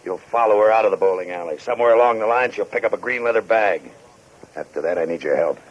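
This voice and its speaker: An actor plays a secretive noir client with a very low voice and a rapid, clipped delivery. He is terse, insistent and all business, in the manner of 1940s radio drama. The sound is muffled and dated. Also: close to an everyday voice. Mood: terse, cautioning. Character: terse, secretive man hiring an investigator.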